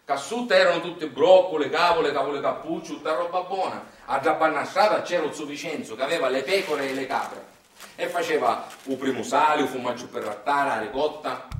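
A fairly high-voiced man with a Sicilian accent tells stories in a theatrical monologue. His manner is folksy and colloquial, peppered with dialect. He is some way from the mic in a heavily reverberant space. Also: a little fast, fairly bright tone, a little hiss or hum. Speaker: stage storyteller. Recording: very echoey room, distant mic.

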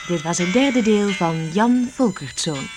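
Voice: medium pitch, animated, unhurried, music in the background. An announcer reading scripted closing credits for a radio drama.

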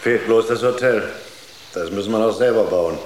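A man playing a reserved space psychologist with a lowish voice. He is dry and terse, in a roomy radio drama sound with faint noise. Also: normal speed, lightly acted. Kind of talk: German radio drama acting.

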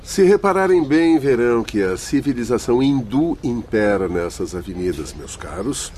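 An actor playing a genial, didactic male spirit guide in a radio drama, speaking in a formal, measured way. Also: mid-range voice, sing-song, a little mellow, close to an everyday voice. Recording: faint background noise.

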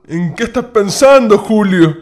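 Radio play: wavering, wry young Chilean male traveller, high-pitched. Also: lively intonation, a little slow, a little mellow. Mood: thoughtful. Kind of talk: radio drama acting.

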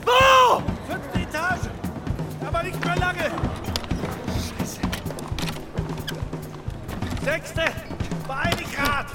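An actor playing a tough veteran police officer who barks orders in a radio drama. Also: male voice, very high-pitched, sing-song, very slow, long pauses, over loud music, full character voice. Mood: shouting. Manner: barking orders, shouting.

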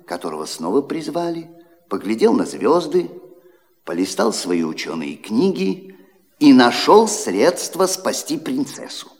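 A kindly old godfather in a fairy-tale audio play. His intonation rises and falls dramatically as he narrates with teasing, grumbling theatricality. The voice rings in a long hall reverb. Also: medium pitch.

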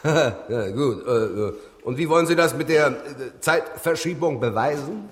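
A man's voice: fairly high pitch, expressive, moderate pace, close to an everyday voice. A man playing a pompous, condescending chairman of a Victorian science academy in an audio drama, speaking formally. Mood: grudging. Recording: reverberant hall, music behind.

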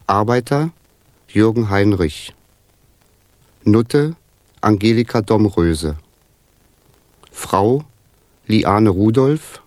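A male announcer reads out scripted credits for a radio play. He speaks extremely slowly in a lowish, matter-of-fact voice, recorded very dry. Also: darkish tone, no echo.

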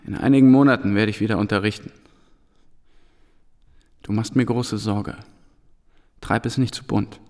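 An actor playing a war-weary returned soldier and teacher in a radio drama, sober, terse and earnest. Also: male voice, fairly low pitch, steady tone, normal speed, long pauses, darkish tone, natural voice. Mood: earnest, concerned.

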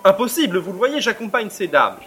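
An actor playing a smooth-talking, aloof provincial lawyer in an audio drama, formal and urbane. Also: male voice, high-pitched, very animated, brisk, a bit bright, faint music behind. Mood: aloof, wry. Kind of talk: French audio drama acting.

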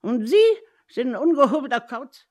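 A woman's voice: fairly high pitch, normal speed, lightly acted. An actress playing a nosy, blunt, gossipy landlady in a German radio play. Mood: blunt, disapproving. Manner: scolding, gossipy.

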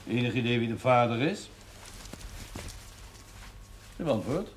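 An actor playing a blunt but kindly older village doctor in a television period drama, curt and paternal. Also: male voice, mid-range voice, lively intonation, a little slow, close to an everyday voice. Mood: stern, probing. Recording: noisy old television soundtrack.

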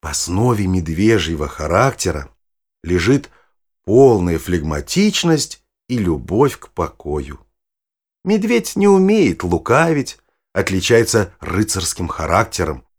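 A man playing a knowledgeable nature guide, narrating a children's audio play in a measured, expressive, explanatory way. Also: sits low, slightly rough, full character voice. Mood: expressive explaining. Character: knowledgeable guide showing children forest animals. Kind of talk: scripted storytelling narration for children.

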